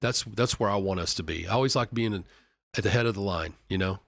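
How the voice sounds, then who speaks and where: An earnest executive from Kentucky talks in a casual podcast interview, his voice sitting a little low. He often trips over his words and restarts his sentences. The sound is dry and close, with no room echo. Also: fast talker, creaky, a bit bright. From Kentucky, USA. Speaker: company executive vice president. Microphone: studio podcast mic.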